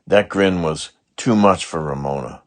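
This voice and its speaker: A man's voice: deep voice, measured pace. A man narrating a children's storybook expressively, with dramatic emphasis on words. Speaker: children's book read-along narrator.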